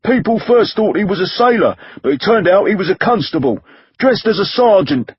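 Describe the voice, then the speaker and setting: A man's voice: sits high, sing-song, normal speed, breathy edge, dry recording, muffled recording, pitched above the performer's own voice, exaggerated voice. A man voicing a drunken, hostile Victorian mob man who jeers and accuses in coarse speech. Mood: jeering hostile.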